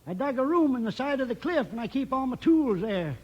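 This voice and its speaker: A man's voice: very high voice, animated, a little fast, breathy edge, lo-fi sound, full character voice. A man playing a feisty, folksy old gold miner in a 1950s western radio drama. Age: older. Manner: cantankerous, folksy.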